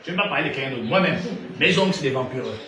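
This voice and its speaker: A man from Gabon with a Gabonese French accent, preaching a sermon. He asks rhetorical questions and swings between loud and hushed delivery. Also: mid-range voice, expressive, rapid-fire. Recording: echoey room, distant mic, noisy.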